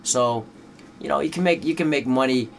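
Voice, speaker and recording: A man's voice: medium pitch, moderate pace, gravelly, slight background noise. Dutch man, loose laid-back talk. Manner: laid-back, loose, full of "you know" fillers. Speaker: rapper. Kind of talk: casual interview talk.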